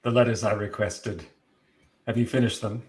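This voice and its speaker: A man's voice: lowish voice, fairly even intonation, normal speed, crowd noise, lightly acted. A man playing an imperious, menacing vampire count in a gothic horror play. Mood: disdainful. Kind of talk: amateur gothic horror play read-through.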